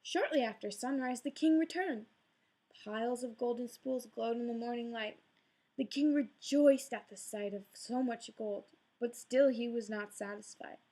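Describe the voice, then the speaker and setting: A woman reads a fairy tale aloud as a storyteller, shaping each line with gentle drama. Her voice sits a touch higher than most women's. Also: normal speed. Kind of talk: read-aloud storybook narration.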